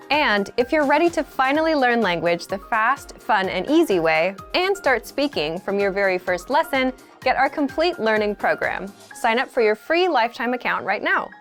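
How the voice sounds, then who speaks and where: A woman reading a scripted promotional voice-over as an announcer for a learning program. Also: on the high side. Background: faint music.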